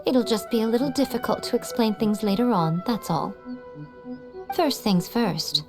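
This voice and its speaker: A woman's voice: a little high, lively intonation, music in the background, close to an everyday voice. A woman as sly, teasing shrine familiar. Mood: knowing, playful. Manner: teasing, haughty, knowing, measured. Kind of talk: video game character acting.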